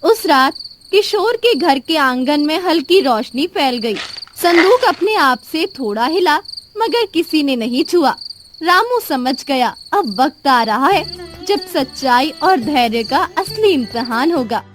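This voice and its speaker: A woman's voice: high-pitched, expressive, measured pace. A woman narrating a scripted children's moral story. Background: music.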